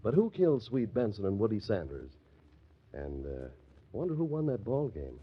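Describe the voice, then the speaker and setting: An actor playing a wry, macabre host who narrates an old-time radio mystery. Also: male voice, on the low side, huge pitch swings, moderate pace, breathy edge, heavily acted. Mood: wryly amused. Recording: dull, lo-fi old radio recording.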